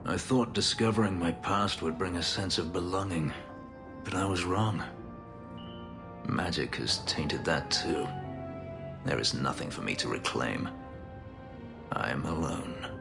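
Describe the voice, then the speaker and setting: A man's voice: deep voice, measured pace, gravelly, pauses often, big-room sound, over music. An actor playing a bitter, brooding male elf warrior and former slave, speaking in a grave, terse, restrained way.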